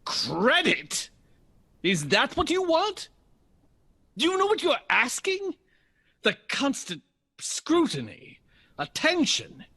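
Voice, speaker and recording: A man playing a suave, domineering older jazz bandleader in a period audio drama, husky and smooth-talking with showy, pompous phrasing. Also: very high voice, wildly swooping pitch, slow pace, long pauses, sharp tone, exaggerated voice. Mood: angry, forceful. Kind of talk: period radio drama acting.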